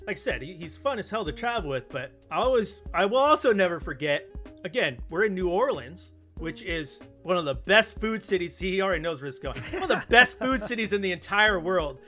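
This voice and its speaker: A man with a high, bright voice chats casually as a podcast storyteller. He is animated and slips into quoted dialogue as he goes, with a sharp edge to his tone. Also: fairly quick, faint music behind. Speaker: podcast co-host.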